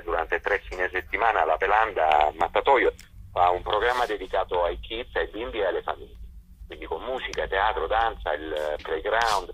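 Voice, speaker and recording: Deep, husky man on a muffled line. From Italy. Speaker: festival director presenting a programme. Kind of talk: spoken radio presentation. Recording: muffled, lo-fi radio or telephone sound.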